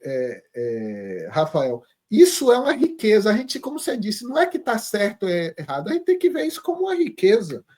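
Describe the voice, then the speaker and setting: A man, interviewing and talking in an animated, emphatic way that often stumbles and restarts. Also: sits high, fairly quick, hardly any pauses. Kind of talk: conversational interview.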